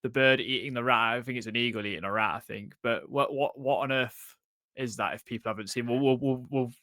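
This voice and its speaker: A man's voice: medium pitch, fairly quick, sharp tone, no room sound, studio quality. A man from England hosting a football podcast in a chatty way, with plenty of fillers and restarts. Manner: chatty, fillers and repeated words. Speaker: podcast host. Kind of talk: casual podcast chat.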